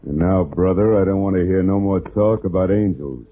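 A man plays a blunt, impatient gangster henchman from an old radio drama. His voice is low and menacing, coming through a tinny broadcast with slight noise. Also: moderate pace, natural voice. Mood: threatening. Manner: tough, blunt street slang. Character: menacing, impatient male gangland thug. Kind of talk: 1940s radio acting. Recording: tinny, faintly noisy vintage radio.

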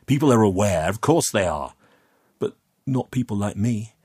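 A man voicing a commanding, menacing older aristocrat, crisp and condescending, with a gravelly edge, in an audiobook story. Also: fairly low pitch, expressive, normal speed, darkish tone. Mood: smooth, condescending.

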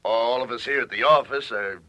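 Actor playing kindly boss with bright, forceful voice. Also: male voice, mid-range voice, a little fast, dry recording, lightly acted. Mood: sympathetic. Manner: reassuring. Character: kindly, understanding male office boss. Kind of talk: radio drama acting.

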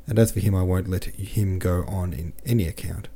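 Man reading a stubborn, dark-voiced cavalry officer. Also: low-pitched, steady tone, fairly quick, slightly rough. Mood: firm. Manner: emphatic, stubborn. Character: indignant, principled male cavalry officer. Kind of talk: storybook character reading.

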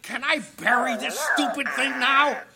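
A woman's voice: high voice, steady tone, normal speed, a loud crowd, natural voice. A woman playing a grouchy, world-weary pet dog in an audio comedy, full of sardonic, exasperated wisecracks. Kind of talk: comedic audio theater acting.

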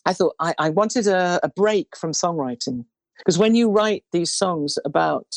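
A woman in her sixties with an unusually low, baritone voice, talking in a loose, meandering way as she recalls her career. The sound is dry and close, with no room around it. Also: expressive, a little slow, dry recording. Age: 60s. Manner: rambling, warm storytelling with restarts. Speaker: singer. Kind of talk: relaxed interview chat.

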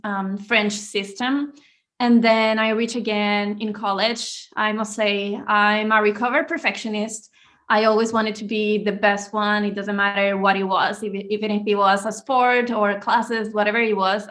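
A woman's voice: medium pitch, fairly bright tone. Upbeat woman guest. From Venezuela. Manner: earnest, upbeat, breaks into short laughs. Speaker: author and guest. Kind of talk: interview answers.

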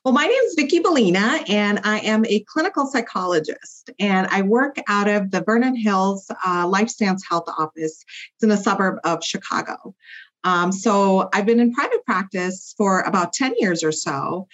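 A woman's voice: mid-range voice, animated, normal speed, a bit bright. A woman clinical psychologist explaining as a podcast guest, with many fillers and tag questions. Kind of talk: podcast interview. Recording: muffled lo-fi audio.

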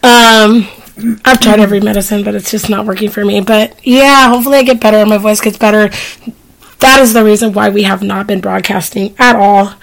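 A woman's voice: mid-range voice, fairly even intonation, a little fast, gravelly, rough recording. A woman in her forties, sick and losing her voice, co-hosting a casual podcast chat in bursts of emphatic talk. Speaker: podcast co-host.

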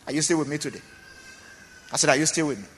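A man's voice: on the high side, fast, a bit bright, big-room sound. A man preaching a sermon as pastor in a church, emphatic, often repeating phrases and asking the congregation questions.

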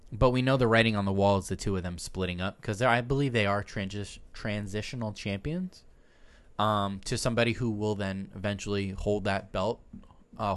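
A man co-hosts a casual podcast in a loose, joking way. His voice sits somewhat low as he talks through his opinions. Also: clean tone. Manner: laughs often, loose and joking. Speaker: podcast co-host. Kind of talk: casual wrestling chat.

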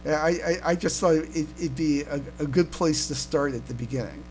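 A man's voice: fairly high pitch, normal speed. A man chatting casually as a podcast co-host, often stumbling and repeating his words as he goes. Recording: steady background noise and hum.

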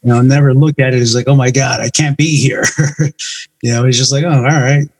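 Informal man, mid-pitched, casual chat. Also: steady tone, fairly quick, breathy edge. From United States. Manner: informal, swearing. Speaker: metal band member, interview guest.

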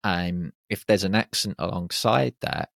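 A man hosting a podcast in a very deep voice with a gravelly fry. He sounds relaxed and conversational, and his voice comes across dry and close. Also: measured pace, no echo. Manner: relaxed, frequent short agreements. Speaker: podcast host. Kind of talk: casual interview conversation.

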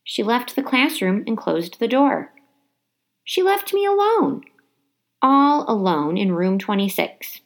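A woman reading a children's storybook aloud with dramatic, animated delivery and emphasized words. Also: a little high, slow. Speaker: teacher reading a book aloud. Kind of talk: expressive children's storybook narration.

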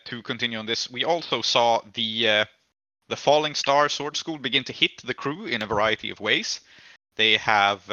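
A man's voice: mid-range voice, pushed and bright, dry recording, muffled recording. A man hosting a tabletop roleplaying podcast as game master, recapping the story casually with hesitant, trailing asides.

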